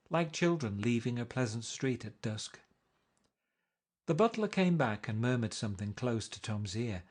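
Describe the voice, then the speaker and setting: A man's voice: medium pitch, a little mellow. Man reading a novel aloud. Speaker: reader.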